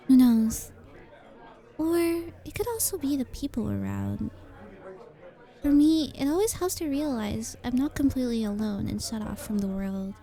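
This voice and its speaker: Woman acting as super shy sweet cafe girl, soft n hesitant, kinda high mellow voice, asmr roleplay. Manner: shy, hesitant, softly earnest, sometimes dropping to a whisper. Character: very shy, sweet young female coffee shop regular who writes.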